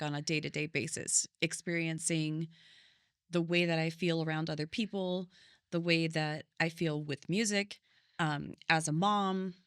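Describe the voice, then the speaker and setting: Low-voiced reflective woman guest. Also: a bit bright, smooth, no room sound, clean studio sound. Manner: thoughtful, speaks in long winding sentences. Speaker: podcast guest, singer and vocal coach. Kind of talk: reflective conversational storytelling.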